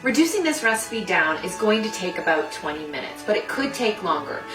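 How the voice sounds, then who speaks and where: A woman's voice: mid-range voice, sing-song, fairly quick. Echoey woman presenting a cooking demo. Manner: instructional, step-by-step. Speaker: food designer and cooking host. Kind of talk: cooking demonstration to camera. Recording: roomy echo, distant mic, music behind.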